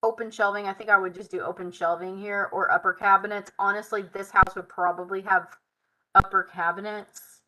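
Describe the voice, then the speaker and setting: A woman hosting a live software tutorial, thinking aloud as she works, her voice tired and hoarse, chatty with a Southern "y'all". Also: medium pitch, steady tone, a little fast, noisy background. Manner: chatty, Southern "y'all", muttering while working.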